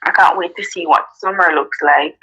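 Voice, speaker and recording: Relaxed low-voiced Jamaican woman. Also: moderate pace, breathy edge, poor audio. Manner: relaxed, many ums and "you know"s. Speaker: guest. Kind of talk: casual interview chat.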